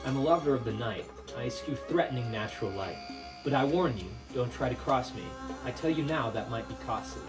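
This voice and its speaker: A man narrating a puppet show as dramatic storytelling, in a measured, theatrical, literary manner. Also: medium pitch, over music. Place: live puppet show performance, room. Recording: distant microphone with faint room noise.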